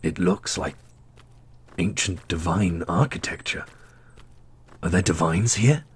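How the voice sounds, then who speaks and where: A man playing a wry, teasing immortal brother in a fantasy audio roleplay, speaking deliberately. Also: low voice, slightly rough, full character voice. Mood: awed.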